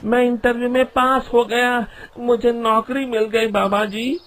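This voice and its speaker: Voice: medium pitch, level tone, unhurried, fairly bright tone, natural voice. An actor voicing a naive, reverent young male villager in a cartoon moral story. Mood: overjoyed. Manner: earnest, reverent.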